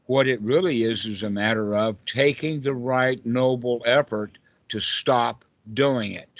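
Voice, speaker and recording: A man's voice: medium pitch, a little slow, dry recording. Southern US man teaching, dull dry call audio. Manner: emphatic, repeats rhetorical questions. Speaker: meditation teacher. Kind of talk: informal dhamma teaching in a group call. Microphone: online group call.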